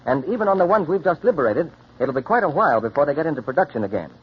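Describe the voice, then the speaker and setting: A man's voice: a little high, very animated, rapid-fire, husky. A male radio announcer reading a scripted 1940s public service announcement in a persuasive, instructive way. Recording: dull old radio recording.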